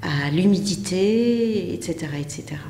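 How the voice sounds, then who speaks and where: A middle-aged woman from Belgium being interviewed, telling her story in a hesitant, measured way with frequent pauses. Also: on the low side, animated, dark tone. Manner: hesitant, measured, pausing often. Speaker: interview guest, community association member. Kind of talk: unscripted personal account. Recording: echoey hall, faint background noise.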